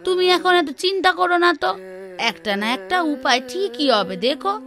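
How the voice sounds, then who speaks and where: A woman's voice: very high voice, lively intonation, brisk, fairly bright tone, full character voice. A woman playing a practical village housewife in a cartoon, bossy but caring, with a nagging, exclamatory way of talking. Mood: firm, consoling. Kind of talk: cartoon voice acting. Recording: music behind the voice.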